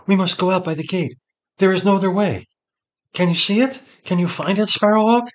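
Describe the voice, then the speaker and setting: Desperate, imperious witch lady, done by a man: raised pitch, urgent commands, fantasy audiobook. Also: brisk, close to an everyday voice.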